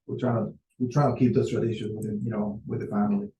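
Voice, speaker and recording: A Venezuelan-born man in his forties talks casually on a video call, pausing often and filling gaps with hesitant fillers. His voice sits low and mellow. The sound is roomy, with a faint hum behind him. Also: level tone. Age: 40s. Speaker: client on a sales call. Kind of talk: casual conversation.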